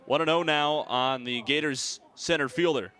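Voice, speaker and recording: A young American man doing live sports play-by-play, describing the action in crisp detail over venue noise. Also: fairly high pitch, moderate pace, pushed and bright. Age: young adult. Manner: crisp detailed descriptions, voice raised on big plays. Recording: live game ambience, clear background noise. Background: sports venue sounds.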